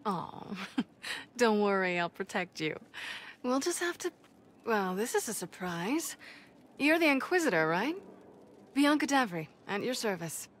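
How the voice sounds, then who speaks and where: An actress playing a confident, sharp-witted female dwarf in a video game, playful and self-assured as she talks. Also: medium pitch, very animated, measured pace, pauses often, a little hiss or hum. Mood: teasing.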